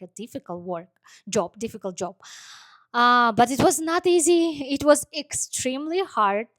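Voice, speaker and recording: A woman recounts her own story in a personal vlog, pausing often and leaning on "like" as she searches for words in English. Her voice sits fairly high for a woman, and the recording is dry and close, with no room sound around her. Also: dry recording. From Kazakhstan. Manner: halting non-native English, many "like" fillers. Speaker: vlogger. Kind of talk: personal life story monologue.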